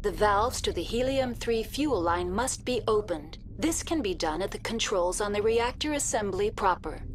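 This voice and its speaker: An actress playing a polite, impassive facility computer in a video game, speaking in formal, measured announcements. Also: female voice, mid-range voice, lively intonation, slight background noise, lightly acted. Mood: calm, impassive. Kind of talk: scripted video game dialogue.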